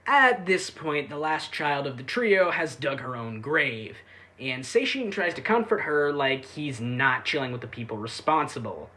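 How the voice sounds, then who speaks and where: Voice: mid-range voice, expressive, measured pace, sharp tone. A reviewer giving a casual, sarcastic recap with irreverent, sweary delivery. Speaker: YouTube anime reviewer.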